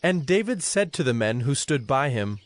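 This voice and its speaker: A man narrating scripture from a script in a measured, formal manner. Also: mid-range voice, very animated, no echo.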